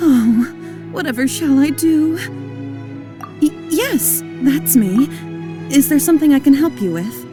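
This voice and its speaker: A woman's voice: high-pitched, expressive, measured pace, pauses often, music in the background. A woman voicing an anxious young merchant woman in an anime game, hesitant and plaintive. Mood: distressed.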